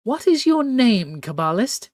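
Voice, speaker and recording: A shrill, haughty sea-creature empress, as a man puts her on, her voice pitched far above his own and pushed loud. She speaks in the royal "we" and snaps out orders. The recording is close and dry. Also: very animated, a little slow, no room sound, exaggerated voice. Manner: regal royal "we", shrill and commanding. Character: imperious tentacled female sea empress.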